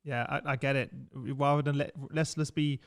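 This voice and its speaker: A man's voice: mid-range voice, fairly even intonation, normal speed. A man hosting a podcast interview, asking questions in a casual, easygoing way and laughing easily. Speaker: podcast host.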